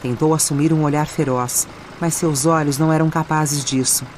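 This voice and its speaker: A woman's voice: low voice, fairly even intonation, moderate pace, a little mellow, slight background noise. A woman with a Brazilian accent reading scripted audiobook narration. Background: music and added sound effects.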